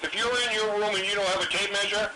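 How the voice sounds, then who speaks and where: A man's voice: sits high, flat delivery, fast talker. A man talking casually over CB radio in a blunt, salty way. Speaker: CB radio operator. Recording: very noisy CB radio transmission.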